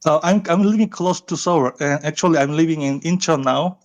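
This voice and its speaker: A Korean man calling in to a live stream, speaking English with a Korean accent, halting and stammering. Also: on the high side. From South Korea. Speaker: caller.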